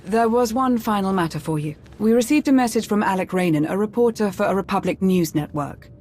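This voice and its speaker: A woman playing a loyal, businesslike commander's aide in a video game, brisk in manner and deferential. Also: medium pitch, darkish tone, a little hiss or hum, natural voice. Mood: dutiful.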